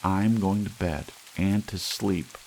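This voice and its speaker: A man voicing a sharp-tongued, exasperated wife with a biting, sarcastic manner in a short story reading. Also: low voice, slow pace, vocal fry, voice pushed up, full character voice, rough recording. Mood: weary, curt. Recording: steady hiss, rain sound underneath.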